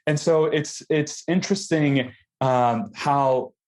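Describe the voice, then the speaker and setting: A man's voice: fairly high pitch, slow, darkish tone. A young man from Mexico, a podcast guest talking reflectively in a casual conversation. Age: young adult. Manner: reflective, many restarts and fillers. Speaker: guest, food company founder.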